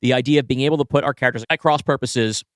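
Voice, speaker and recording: A man co-hosting a podcast, brainstorming story ideas in a casual, halting conversation as he thinks aloud. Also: mid-range voice, fairly even intonation, no echo, studio quality. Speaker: podcast co-host and story writer.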